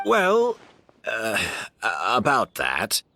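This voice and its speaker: An actor playing an earnest, apologetic host in a video game, polite and slightly hesitant. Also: male voice, on the low side, wildly swooping pitch, extremely slow, creaky, bright, forceful tone, a little hiss or hum, heavily acted.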